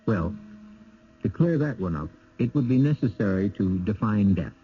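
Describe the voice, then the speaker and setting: A man narrating a scripted radio mystery drama as host, in a measured, philosophical, theatrical way. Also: on the low side, expressive. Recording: muffled, noisy old radio recording.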